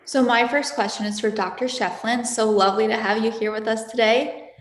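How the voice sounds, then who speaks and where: A woman's voice: a little high, fairly even intonation, fairly quick. A woman hosting a podcast interview, asking questions in a friendly, chatty way. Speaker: host. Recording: echoey room with long reverb.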